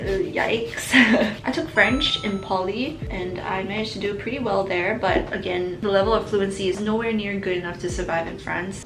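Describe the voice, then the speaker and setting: A woman's voice: medium pitch, roomy sound, poor audio. A young woman from Singapore chatting casually in a vlog, answering viewer questions in a reflective way and laughing between answers. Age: young adult. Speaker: vlogger.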